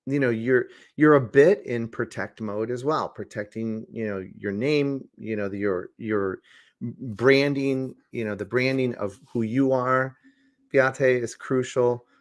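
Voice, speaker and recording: A man's voice: medium pitch, unhurried. A man hosting a business podcast interview, talking with many restarts and fillers. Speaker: podcast host. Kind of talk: interview host.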